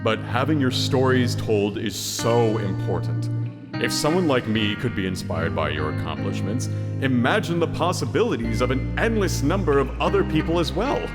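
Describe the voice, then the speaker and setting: A man voicing an earnest, hesitant, self-doubting giant hand who mentors heroes in an animated comedy. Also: medium pitch, normal speed, natural voice. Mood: earnest, encouraging. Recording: long reverb, music behind.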